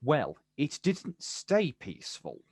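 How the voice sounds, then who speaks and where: An actor playing a bitter, protective older brother, confrontational and incredulous, in a naturalistic stage drama. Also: male voice, a little high, huge pitch swings, slow pace, a little mellow, husky, no echo, clean studio sound, exaggerated voice. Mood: incredulous, accusing. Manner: confrontational, incredulous, clipped questions.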